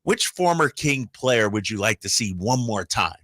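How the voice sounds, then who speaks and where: Male sports talk co-host, animated pitch, bright tone, casual bantering interviewer. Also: normal speed, dry recording, studio quality.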